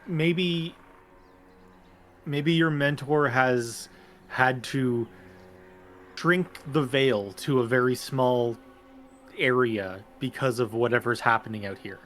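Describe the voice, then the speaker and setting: A man talks through a tabletop roleplaying scene as a player. He speaks slowly and casually at an average pitch, with a faint background noise. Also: a bit bright.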